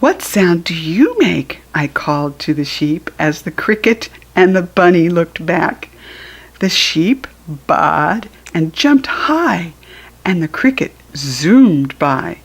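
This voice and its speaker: An American woman reads a picture book aloud for small children. Her voice sits fairly low and moves with lively swings of pitch at a very unhurried pace. It is a little husky, and she talks to the listener in simple, teacherly asides. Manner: acts out animal sounds while reading. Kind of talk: read-aloud storytelling for young children with asides.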